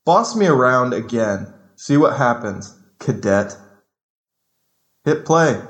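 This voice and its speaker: Low-voiced irritable officer. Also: female voice, expressive, takes it slowly, exaggerated voice. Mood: irritated. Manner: sarcastic, menacing. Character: irritable, sarcastic commanding officer. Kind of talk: audio drama acting. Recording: roomy echo.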